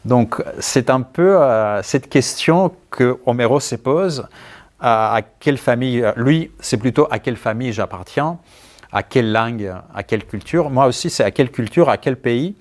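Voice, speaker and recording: Male author with Greek accent, medium pitch, thoughtful and halting interview delivery. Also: lively intonation. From Greece. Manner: halting, searching for words, thoughtful. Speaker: writer being interviewed. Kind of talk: conversational answers at a book talk.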